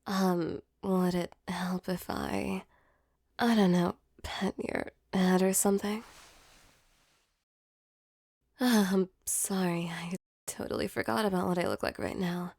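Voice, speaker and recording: A woman voicing a female sleep paralysis demon in an intimate ASMR roleplay, with a soft, close delivery. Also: on the low side, steady tone, unhurried, gravelly, no room sound. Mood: flustered, apologetic. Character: female sleep paralysis demon, mock-menacing turned tender and flustered.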